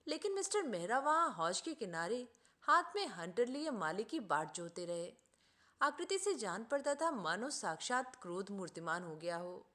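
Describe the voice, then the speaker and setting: A woman reading a literary short story aloud as an expressive audiobook narrator. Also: on the high side.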